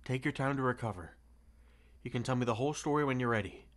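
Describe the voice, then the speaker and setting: A man's voice: mid-range voice, fast, close to an everyday voice. An actor playing a kind, concerned young male neighbour in an audio roleplay, speaking in a hesitant, informal way. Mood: relieved concern. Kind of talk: naturalistic audio roleplay acting. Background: music.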